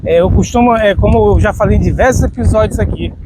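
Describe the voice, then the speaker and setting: A man's voice: high-pitched, fast talker, very noisy. A middle-aged Brazilian man hosting a podcast, chatting casually and rambling a bit as he repeats himself. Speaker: podcast host. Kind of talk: casual interview chat.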